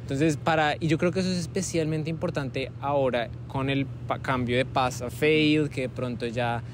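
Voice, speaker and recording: A young man hosting a casual improvised interview on a campus, relaxed and chatty with frequent fillers. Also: medium pitch, normal speed, noisy background. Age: young adult. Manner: relaxed, conversational, many fillers like "como" and "eh". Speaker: host, medical student interviewer. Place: outdoors on a university campus plaza with trees.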